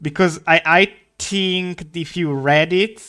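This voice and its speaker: A man's voice: fairly high pitch, steady tone, takes it slowly, bright, forceful tone, faint music behind. A man streaming live, chatting casually and rambling while he draws. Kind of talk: casual chat while drawing.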